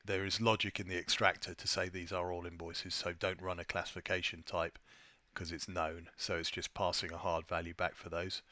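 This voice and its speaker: A man presenting an unscripted software tutorial, thinking aloud with a hesitant delivery as he walks through his screen. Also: sits low, no echo. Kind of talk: unscripted screen-share walkthrough.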